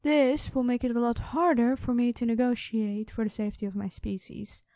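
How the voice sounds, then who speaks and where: Mellow, high-voiced regal dragon queen played by a woman. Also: moderate pace, dry recording, studio quality, close to an everyday voice. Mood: firm, warning. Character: imperious, calmly threatening dragon queen in human form. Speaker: voice actor in an audio roleplay. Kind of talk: ASMR roleplay monologue addressed to the listener.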